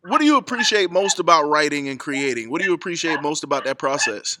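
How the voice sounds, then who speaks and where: A man's voice: on the high side, expressive, quick pace, crowd noise. A man hosting an interview show, upbeat and hyped, often repeating his questions. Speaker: radio show host.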